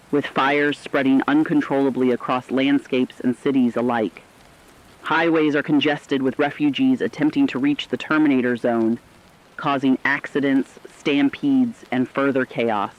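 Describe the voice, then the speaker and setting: Guy doing a serious radio reporter, mid pitch, formal disaster report, bit muffled lo-fi sound. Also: natural voice. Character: sombre radio correspondent reporting mass human suffering. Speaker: news correspondent.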